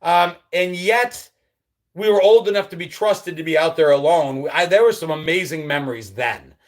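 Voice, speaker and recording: Man, middle-aged, from upstate New York, livestream host, slightly high voice, casual nostalgic chat, answers viewer comments. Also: normal speed. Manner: reads viewer comments aloud and answers them; nostalgic, easygoing, with frequent ums and trailing drops. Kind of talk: casual live-chat reminiscing.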